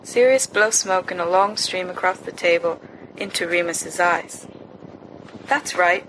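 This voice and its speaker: A woman reading fan fiction aloud as an amateur narrator, in an expressive storytelling style. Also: fairly low pitch, moderate pace. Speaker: volunteer audiobook reader. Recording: noisy amateur home recording.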